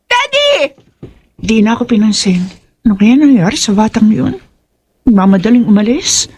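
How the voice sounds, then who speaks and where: A woman's voice: a little high, sing-song, moderate pace, breathy edge. An actress playing an anxious, pleading middle-aged village mother in emotional radio drama. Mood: worried. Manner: earnest, pleading. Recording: loud music behind voice.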